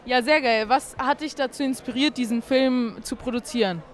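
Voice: high-pitched, normal speed. A host interviewing young filmmakers outdoors at a film festival, in slangy, enthusiastic casual talk. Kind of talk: casual vox-pop interview.

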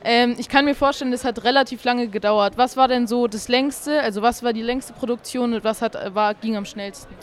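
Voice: medium pitch, fairly even intonation, fairly quick, hardly any pauses. Upbeat slangy festival interviewer. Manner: slangy, enthusiastic youth talk. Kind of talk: casual vox-pop interview.

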